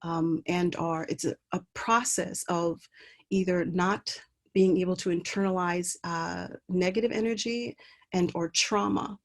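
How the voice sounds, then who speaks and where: A woman's voice: lowish voice, fairly even intonation, measured pace. A woman from Puerto Rico, an artist walking through slides of her work in an online talk, speaking hesitantly with many ums and restarts over a video call mic. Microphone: computer mic on a video call.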